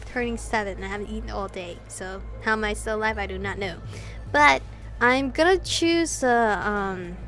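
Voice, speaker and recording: A young woman with a high voice hosts a visual novel playthrough. She moves between narration and informal, giggly commentary in a casual style, over faint background music. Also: fairly bright tone. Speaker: gaming video host.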